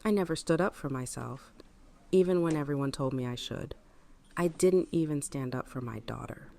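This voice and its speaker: Woman acting a gravelly, hardened housewife. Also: sits low, moderate pace, warm tone, slight background noise. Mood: firm, guarded. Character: hardened, self-controlled housewife, a woman with steely resolve. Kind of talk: fan audio drama acting.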